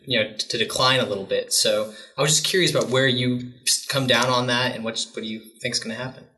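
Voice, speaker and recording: A man's voice: mid-range voice, sharp tone, poor audio. A man co-hosting an interview podcast, asking long, hedging questions. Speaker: podcast co-host.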